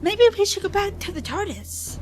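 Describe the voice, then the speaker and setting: A woman's voice: very high voice, very animated, moderate pace, music in the background. A woman acting in an audio drama as an earnest, moral young travelling companion, emotive and easily alarmed. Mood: uneasy.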